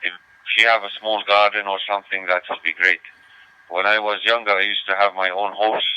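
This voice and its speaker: A man's voice: lowish voice, flat delivery, a little fast, pushed and bright, clean tone. A man chatting casually over amateur radio, hesitating and repeating his phrases. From Lebanon. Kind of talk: casual ham radio chat. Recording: dull lo-fi digital radio transmission.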